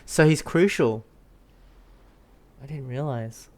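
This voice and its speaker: A man's voice: mid-range voice, slow pace, darkish tone. A young man giving casual let's play commentary, informal and thinking aloud as he reacts. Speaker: gamer playing and reacting to a story quest. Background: game music.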